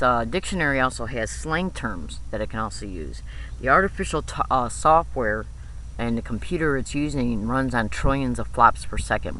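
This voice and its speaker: A man's voice: mid-range voice, bright, forceful tone, a little hiss or hum, rough recording. A man giving an explanatory monologue in an insistent, repetitive way, often checking in as he goes. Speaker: video host.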